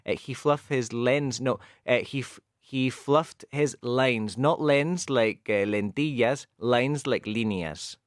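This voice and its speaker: A man from the west of Scotland with a clear Glasgow accent talks through football idioms at a patient, teacherly pace. His voice sits at an ordinary pitch for a man. The sound is close and dry, with no room echo at all. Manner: patient, explains slowly, mixes in Spanish. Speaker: radio show co-host teaching English. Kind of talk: bilingual radio chat, explaining football idioms.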